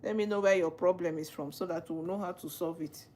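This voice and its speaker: Brief: female livestream host, Nigerian accent, slightly low voice, teasing and questioning. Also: steady tone, fairly quick, a little mellow. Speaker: livestream host, legal practitioner. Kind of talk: live chat reading viewer comments.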